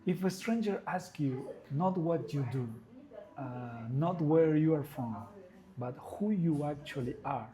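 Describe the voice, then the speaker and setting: A man hosting a podcast, asking questions in a gentle, reflective way with some hesitation. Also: fairly high pitch, measured pace, poor audio. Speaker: podcast host. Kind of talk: podcast interview.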